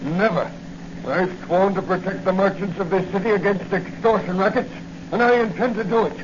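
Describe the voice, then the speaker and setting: A man's voice: high-pitched, moderate pace, lightly acted. An actor playing an indignant, upright commissioner and worried father in a blustering 1940s radio style. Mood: indignant, alarmed. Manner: blustering, demanding. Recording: muffled, very noisy old radio recording.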